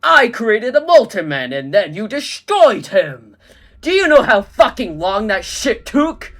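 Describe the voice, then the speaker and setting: A woman doing a gruff ranting villain man. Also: low voice, very animated, takes it slowly, voice pushed down, exaggerated voice. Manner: ranting, indignant.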